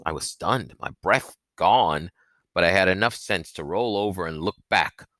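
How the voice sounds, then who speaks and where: A man narrating an audiobook in an expressive, suspenseful, dramatic storytelling style. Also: sits low, normal speed, dry recording.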